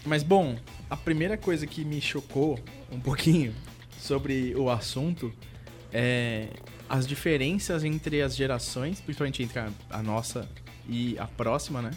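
A man's voice: mid-range voice, measured pace, soft background music. A young man with a Brazilian accent chatting casually and informally as a podcast co-host. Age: young adult. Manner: informal, slangy. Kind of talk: casual chat.

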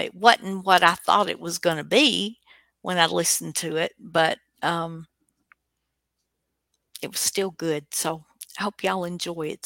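A woman's voice: lowish voice, creaky, sharp tone, no room sound, clean studio sound. A woman hosting a radio show, chatting casually in a rambling, hesitant way. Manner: rambling, hesitant, reminiscing delivery. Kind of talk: casual chat.

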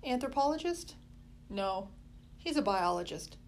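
A woman's voice: medium pitch, sing-song, unhurried, slight background noise. A woman doing a polite, well-spoken boy. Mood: earnest.

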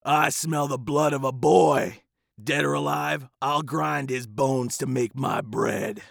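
A man voicing a huge, fearsome, bloodthirsty giant who bellows his threats slowly and drags out his words. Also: medium pitch, fairly even intonation, gravelly, fairly bright tone, no echo, studio quality, exaggerated voice. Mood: bellowing threat. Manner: bellowing, slow and drawn out.

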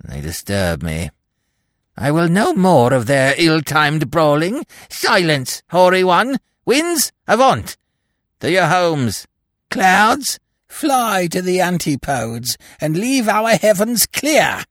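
A man voicing a misshapen, malevolent dwarf magician in a dramatic story reading, with theatrical, grandiose, mockingly genial delivery and a gravelly, creaky voice. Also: sits high, very animated, a bit bright, no echo, clean studio sound, pitched above the performer's own voice, heavily acted. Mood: thunderous command. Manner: theatrical, drawn-out, archaic grandiose speech. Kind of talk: dramatic audiobook character reading.

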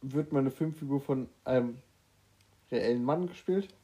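A man's voice: mid-range voice, measured pace, dark tone. A man co-hosting a guessing game in casual banter, with dry, mock-formal answers. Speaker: co-host playing a guessing game.

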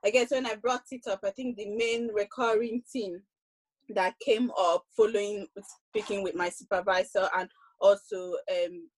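A young woman speaking on a discussion panel, reflective and hesitant, with frequent pauses and restarts. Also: medium pitch, fairly even intonation, low-quality recording. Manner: hesitant, many ums and restarts.

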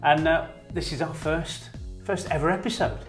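A man's voice: fairly high pitch, normal speed, faint music behind. Chatty man co-hosting a podcast. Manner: chatty, self-deprecating, laughs easily. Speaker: podcast co-host, former church leader. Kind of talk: casual chat.

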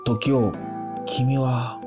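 A man's voice: medium pitch, little pitch movement, drawn-out words, music in the background. An actor in an audio drama playing a mild-mannered ordinary man, bewildered and hesitant, speaking in questions. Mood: stunned, doubtful.

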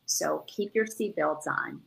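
A woman's voice: low voice, takes it slowly, vocal fry, fairly bright tone, poor audio. A woman who grew up in the Dominican Republic, speaking earnestly over a video call and repeating words as she searches for them. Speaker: guest. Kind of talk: casual spiritual chat.

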